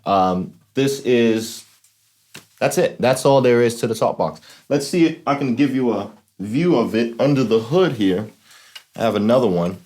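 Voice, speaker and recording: A man's voice: medium pitch, lively intonation, unhurried, a little mellow. A man hosting an instructional demonstration, explaining things informally and often checking in with the listener. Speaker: host of a talk box tutorial series.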